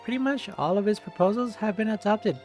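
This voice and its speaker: Narrator reading aloud over clear music. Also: medium pitch, a little fast, darkish tone. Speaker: streamer reading a visual novel aloud. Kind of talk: expressive read-aloud narration. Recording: game music behind the voice.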